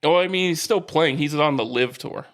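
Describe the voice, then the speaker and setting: A man's voice: fairly high pitch, normal speed, a bit bright. A man co-hosting a sports podcast in casual banter, teasing and quizzing as he chats. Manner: teasing, quizzing his co-host.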